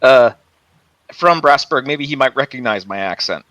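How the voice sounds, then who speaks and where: A man's voice: medium pitch, expressive, moderate pace, sharp tone, no room sound, muffled recording. A man playing a tabletop game over a voice call, talking casually with many fillers and restarts. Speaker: player. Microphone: headset over a voice call.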